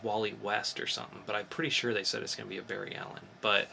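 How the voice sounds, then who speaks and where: A man's voice: fairly low pitch, level tone, rapid-fire, vocal fry, bright, forceful tone, a little hiss or hum. A man hosting a podcast in casual chat, informal and opinionated in the way he talks. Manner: opinionated, informal, mildly profane. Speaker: podcast host. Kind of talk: casual podcast chat about comics and movie news.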